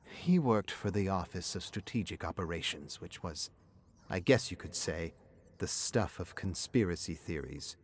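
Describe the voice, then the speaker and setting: A man's voice: deep voice, animated, normal speed, slight background noise, voice pushed down. A man voicing an anxious, grieving middle-aged woman in a story, speaking in a hesitant, wistful way.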